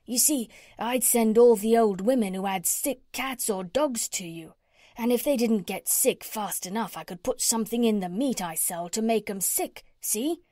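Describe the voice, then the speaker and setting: A woman's voice: a little high, normal speed, warm tone, no room sound, clean studio sound, close to an everyday voice. A woman voicing a chatty, scheming working-class tradesman from a children's storybook, with a folksy, persuasive, ungrammatical way of talking. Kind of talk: children's storybook character reading.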